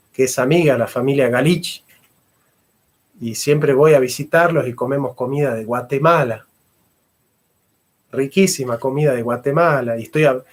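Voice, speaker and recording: A middle-aged man, a philosopher and history teacher giving a fervent lecture and repeating phrases for emphasis. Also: mid-range voice. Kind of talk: impassioned lecture.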